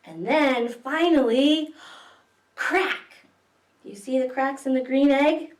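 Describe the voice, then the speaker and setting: A woman's voice: sits high, takes it slowly. A woman reading picture books aloud to young children as a library story time host, expressively, with questions to her listeners. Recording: echoey room, distant microphone.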